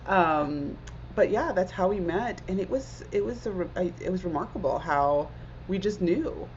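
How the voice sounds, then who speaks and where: A woman in her forties, originally from Zimbabwe, talks as an interview guest with a fairly low voice. She sounds earnest and reflective, leaning on "like" as she thinks. The audio is slightly dull and lo-fi. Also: animated, moderate pace, darkish tone, slight background noise. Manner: earnest, reflective, many "like" fillers. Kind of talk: casual conversational interview.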